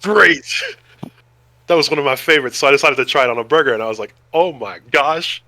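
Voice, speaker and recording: A man co-hosting a podcast in a casual, enthusiastic way. His voice is bright and forceful at a middle pitch, and he often breaks into exclamations. The sound is dry, with very little room on it. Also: animated, fast talker, dry recording. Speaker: podcast co-host. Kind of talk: casual chat about food.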